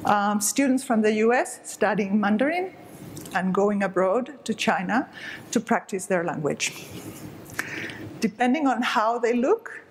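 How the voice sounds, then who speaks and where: A woman's voice: mid-range voice, lively intonation, unhurried, slight background noise. A middle-aged woman from southern Spain, giving an explanatory, emphatic stage talk to an audience. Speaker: speaker. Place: dark stage with curtain.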